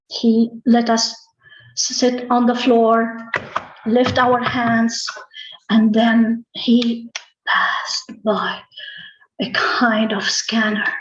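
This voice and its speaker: A woman telling a tall tale live, with deliberate, dramatic phrasing, pauses and repetition. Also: a little high, a bit bright. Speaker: storyteller. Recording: roomy, echoey, slightly muffled online-call audio.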